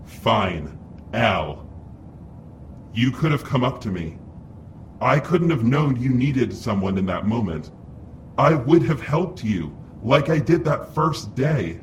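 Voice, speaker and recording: A man's voice: medium pitch, big pitch swings, slow pace, far from the mic. A male actor plays an earnest young hero in an audio drama. His mid-range voice is restrained and apologetic, set back from the microphone with faint noise behind. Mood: earnest, defensive.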